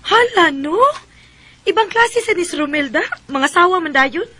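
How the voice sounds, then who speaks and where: An actress playing a chatty, teasing young friend in a radio drama, with a Cebuano Filipino accent. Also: female voice, very high-pitched, animated, a little slow, sharp tone, exaggerated voice. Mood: teasing, surprised.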